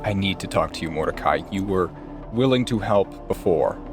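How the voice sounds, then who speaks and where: A man playing an earnest, weary private investigator in a tabletop game, speaking in a deliberate, solemn way over music. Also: low voice, lively intonation. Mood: earnest, grave. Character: earnest, weary male private investigator pleading his case. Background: music behind the voice.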